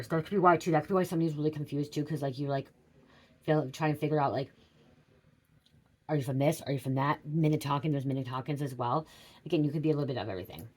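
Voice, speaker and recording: A woman's voice: very deep voice, mellow. A middle-aged woman giving a rambling psychic reading, drifting off track, swearing freely and asking "okay?" over and over. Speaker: psychic tarot reader. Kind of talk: rambling casual livestream reading.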